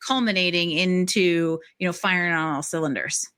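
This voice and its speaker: A woman hosting a conversational podcast interview, explaining things in an animated, casual way. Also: lowish voice, normal speed, clear, resonant tone. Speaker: podcast host interviewing a guest.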